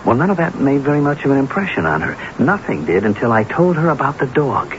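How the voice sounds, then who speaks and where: An actor playing an offhand, evasive, scheming husband in a radio drama. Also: male voice, mid-range voice, sing-song, quick pace, muffled recording, lightly acted. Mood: sly, explaining. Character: smooth-talking, scheming unfaithful husband. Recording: noisy, band-limited old radio broadcast.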